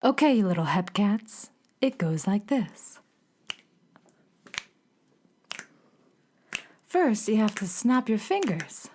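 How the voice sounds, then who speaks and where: A woman's voice: mid-range voice, very animated, a little slow, darkish tone. A woman playing a laid-back beatnik cool cat from the moon in a children's radio play, talking in hip, drawling slang. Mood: cool, instructing.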